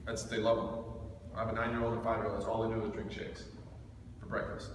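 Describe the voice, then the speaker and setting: A man's voice: on the low side, little pitch movement, quick pace. A man in his thirties leads an informal team training with intense, direct emphasis. He pushes his points with rhetorical questions. The sound is very echoey and distant, with a long reverb. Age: thirty-seven. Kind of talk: informal motivational training talk to a group. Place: echoing indoor room with a small group. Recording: echoey room recorded from far off, faint noise.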